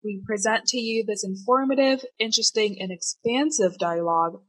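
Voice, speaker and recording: A woman's voice: mid-range voice, normal speed, husky, no room sound, poor audio. A woman reading a formal scripted welcome for a podcast. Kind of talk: scripted narration.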